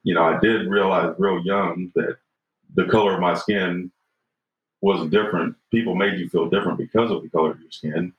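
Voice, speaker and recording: A man's voice: deep voice, steady tone, moderate pace. A man in his fifties, a podcast guest talking earnestly and reflectively, often checking in with "right?". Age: 50s. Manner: earnest, reflective, frequent "you know" and "right?". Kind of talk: podcast conversation. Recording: roomy, dull lo-fi sound.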